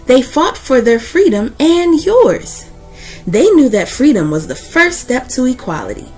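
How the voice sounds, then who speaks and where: A woman hosts a children's read-aloud at a very slow, deliberate pace. Her delivery swings widely in pitch, with emphatic stresses and dramatic pauses. Music plays behind her voice. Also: very animated, darkish tone, breathy edge. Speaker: host of children's book read-aloud series. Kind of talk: expressive read-aloud of a children's picture book.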